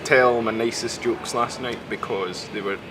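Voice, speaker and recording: A young man from Scotland, a student and stand-up comedian, chatting casually and in a low-key way in an interview. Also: steady tone, moderate pace. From Scotland (Glasgow). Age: young adult. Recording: street noise outdoors.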